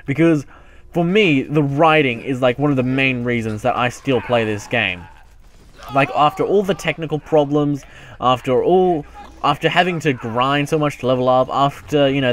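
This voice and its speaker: A man giving casual let's play commentary as a gaming YouTuber, rambling and self-mocking, often muttering to himself. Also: mid-range voice.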